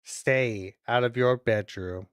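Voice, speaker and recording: Streamer, middling pitch, unhurried, casual reaction commentary, deliberate read-aloud phrases, muttered asides, dry close sound. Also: smooth, dry recording. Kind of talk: casual watch-along commentary mixed with reading on-screen text aloud.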